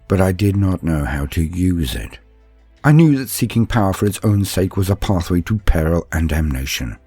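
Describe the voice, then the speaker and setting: A man acts out an earnest, bulky young warrior-psyker telling his own story. His voice is low and husky, with dramatic swells and drops and solemn, formal, deliberate phrasing. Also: very animated, dark tone, no echo, faint music behind, heavily acted. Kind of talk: dramatic first-person story narration.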